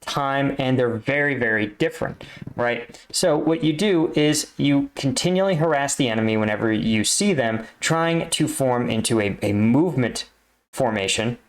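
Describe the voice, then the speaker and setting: A man talks in a medium-pitched voice, commenting casually as a veteran would. He explains military ideas in stages, often stopping to check that the listener follows. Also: expressive, moderate pace. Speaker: host, army combat veteran reacting.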